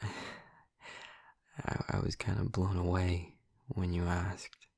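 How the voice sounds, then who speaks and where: A man playing a gentle, awkward, affectionate vampire in an intimate audio roleplay, speaking softly and breathily with hesitant, halting tenderness, close to the mic. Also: low voice, flat delivery, no echo, exaggerated voice. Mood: awkward, sincere. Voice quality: soft, breathy, husky. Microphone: close, dry microphone.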